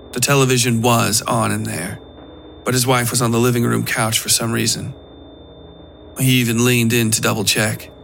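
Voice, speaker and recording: A man reading a scripted dark fiction story as the narrator of a horror podcast. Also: mid-range voice, vocal fry.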